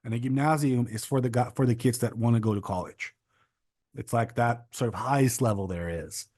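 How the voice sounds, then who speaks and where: Casting: young guy, chatty and rambling, mid-pitched voice, dry close sound. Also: a little fast, a little mellow, no room sound. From Czech Republic. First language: Czech. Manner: rambling, restarts and stacks of fillers. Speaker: podcast guest. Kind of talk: casual interview chat.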